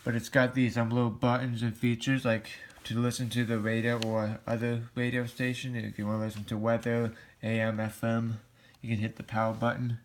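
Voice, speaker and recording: A man's voice: medium pitch, completely flat delivery, a little fast, slight background noise. A man casually reviewing gadgets for a hobby video in a hesitant, rambling, plain-spoken way. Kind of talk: casual product review to camera.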